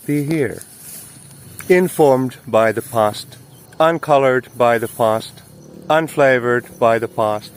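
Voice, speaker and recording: A man delivering a philosophical spoken monologue as host, in a deliberate, emphatic, instructive manner with short phrases and repetition. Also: mid-range voice. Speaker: host of a spiritual talk series.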